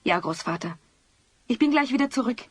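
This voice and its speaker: A woman playing a gentle, respectful young mother in a German audio drama, with a soft, husky voice. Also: fairly high pitch, animated, fairly quick, dry recording. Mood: obliging.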